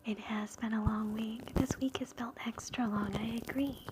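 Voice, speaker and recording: A woman whispering slow, gentle affirmations for ASMR at home, close to a sensitive mic. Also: fairly high pitch, steady tone. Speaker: ASMR host. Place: home, indoors.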